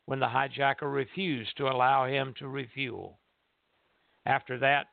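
Gravelly man on a phone-like radio line. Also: mid-range voice, dry recording. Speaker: radio show producer and co-host. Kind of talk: casual radio chat and reading aloud from a book.

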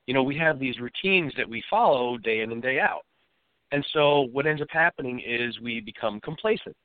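A man with a cold and a slightly raspy voice, answering interview questions as a safety expert in an easygoing, explanatory way. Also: mid-range voice, no echo. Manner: explains at length in a plain, easygoing way. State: has a cold, a bit raspy. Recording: dry, phone-like line.

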